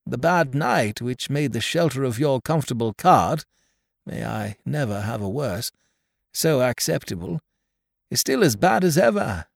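Man doing a polite old deaf gentleman, formal and measured, higher pitch, gravelly. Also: big pitch swings, no echo, clean studio sound, full character voice. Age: older.

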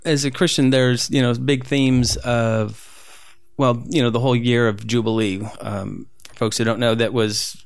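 A man's voice: medium pitch, fairly even intonation, a little slow, creaky, smooth, no room sound. A man who grew up in Southern California, talking casually as a guest with many fillers and restarts. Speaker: street photographer guest. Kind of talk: casual interview conversation.

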